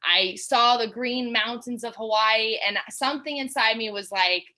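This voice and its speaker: A woman's voice: a little high, moderate pace, bright, forceful tone. A young woman from Georgia, a guest in a casual interview, talking in a bubbly, chatty way with lots of fillers. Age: young adult.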